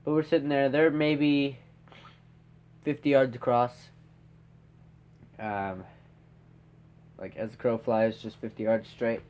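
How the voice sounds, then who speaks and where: A man's voice: medium pitch, level tone, slow pace, long pauses, darkish tone. A teenage boy with a stuffed-up nose, rambling through a story with lots of fillers and tag questions. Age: teens. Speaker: podcast host. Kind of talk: casual storytelling.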